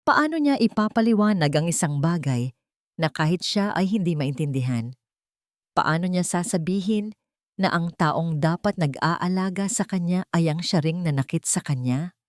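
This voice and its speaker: A woman's voice: lowish voice, animated, moderate pace, dry recording, studio quality. A woman with a Filipino accent reading a scripted dramatic story as its narrator.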